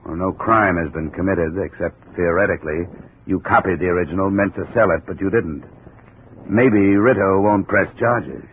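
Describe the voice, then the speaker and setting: An actor playing a world-weary, wry investigator with clipped, dry delivery in a 1950s radio detective drama. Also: male voice, sits low, moderate pace, slight background noise, close to an everyday voice. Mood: restrained, sympathetic. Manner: clipped, dry, hard-boiled. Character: world-weary, wry hard-boiled male insurance investigator. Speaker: narrator and lead. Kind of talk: 1950s radio detective acting, first-person narration. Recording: tinny 1950 radio recording.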